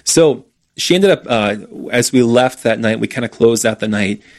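An earnest man telling a story in relaxed conversation, mid-pitched and natural. He animates the tale with bits of quoted dialogue and steady conviction. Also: moderate pace. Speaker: ministry speaker.

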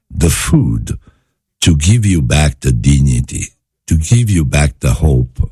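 A man from Italy with an Italian accent, a guest chef talking in a studio interview, halting with many fillers and restarts. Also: very deep voice, mellow, breathy edge, no room sound. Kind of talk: interview conversation.

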